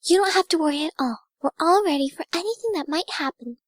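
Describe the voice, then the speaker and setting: Woman doing sweet young pegasus pony, very high pitch, soft, soothing, kindly, dry close sound. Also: animated, fast talker, no echo. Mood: polite, reassuring.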